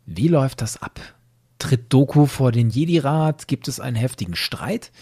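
A man's voice: mid-range voice, expressive, moderate pace, warm tone, breathy edge, no echo, clean studio sound. A man hosting a podcast, giving scripted, explanatory narration and commentary with rhetorical questions. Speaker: podcast host.

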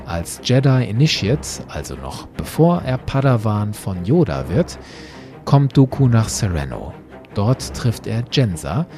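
A man narrating a scripted podcast commentary, explaining with deliberate emphasis. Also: lowish voice. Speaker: podcast host.

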